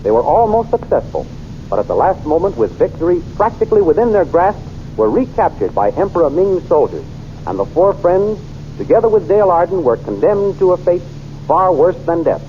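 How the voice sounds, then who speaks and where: A man's voice: sits high, very animated, fairly quick, highs cut off, a little hiss or hum. A man narrating an old radio serial in a dramatic, sweeping announcer style.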